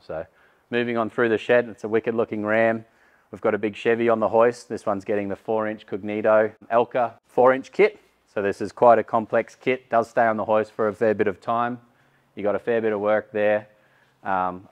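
A man's voice: fairly low pitch, muffled recording. A man, giving a casual walkaround tour of a vehicle workshop with frequent fillers. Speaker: workshop host. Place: large vehicle workshop indoors.

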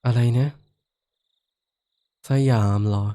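A man voicing a gentle horned giant lord. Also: very slow. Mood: gentle, comforting. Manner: soft-spoken, comforting, playfully teasing. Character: kindly male giant lord with horns, gentle and teasing. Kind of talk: listener-addressed roleplay audio drama.

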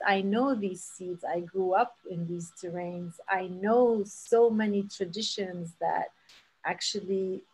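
A woman's voice: mid-range voice, breathy edge, poor audio. Woman from Palestine in her thirties, earnest storyteller, speaking over a laptop mic on a video call. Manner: earnest, with many fillers and restarts. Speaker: seed saver giving a talk. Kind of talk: storytelling talk. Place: home room on a video call. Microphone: laptop webcam mic on a video call.

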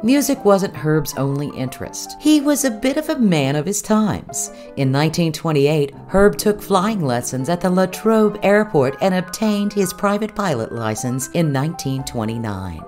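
A woman narrates a history documentary in a fairly low voice with lively rises and falls. Her delivery is measured and earnest, and music plays behind her.

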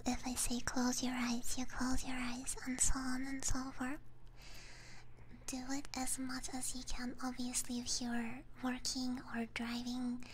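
A woman streaming ASMR, chatting to her viewers in a soft, gentle, unhurried whisper, close to a binaural microphone. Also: sits high, noisy background.

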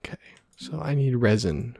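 A man softly murmuring and whispering ASMR gameplay commentary, musing to himself in a hushed, breathy way close to the microphone. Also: mid-range voice, expressive, normal speed, a little hiss or hum. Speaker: gaming video host.

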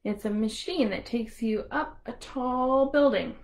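A woman's voice: on the high side, unhurried, darkish tone. A woman hosting a storytime for young children, talking simply and encouragingly to toddlers. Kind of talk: interactive children's storytime with songs and rhymes.

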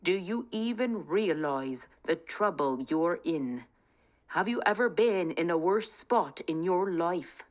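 A woman voicing a stern, menacing king who speaks in a slow, commanding, threatening way. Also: sits low, expressive. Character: stern, menacing middle-aged human king.

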